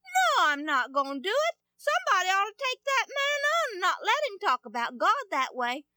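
A woman's voice: extremely high pitch, wildly swooping pitch, moderate pace, sharp tone, no room sound, studio quality, pitched above the performer's own voice, exaggerated voice. A woman voicing an earnest, brave young shepherd in a children's radio Bible story, insisting on doing what is right.

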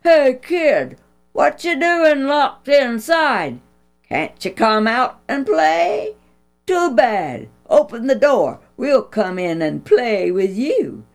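Lady putting on a rough gang bully, loud mocking taunts, some music behind. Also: fairly high pitch, sing-song, slow pace, pitched above the performer's own voice, heavily acted. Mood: jeering. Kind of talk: storybook reading.

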